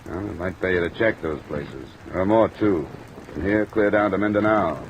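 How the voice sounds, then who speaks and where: An actor playing a cool, dogged American investigator in an old radio drama, clipped and matter-of-fact. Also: male voice, low voice, normal speed, slight background noise, rough recording. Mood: dry, skeptical. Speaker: lead actor playing detective and narrator. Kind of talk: 1940s-50s radio detective acting. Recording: old radio recording with rain overlay.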